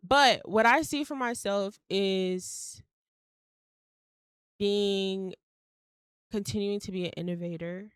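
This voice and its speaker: A woman's voice: medium pitch, fairly bright tone, clear, resonant tone, no room sound, studio quality. Young woman rambling casually on her podcast. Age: young adult. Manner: rambling, lots of fillers, swears casually, laughs mid-sentence. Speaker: podcast host. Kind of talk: casual solo podcast monologue.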